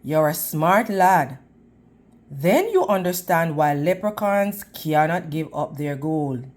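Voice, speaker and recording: Woman voicing a stubborn, slow-talking leprechaun. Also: low voice, expressive, a little mellow. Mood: resigned. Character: stubborn, touchy male leprechaun guarding his gold.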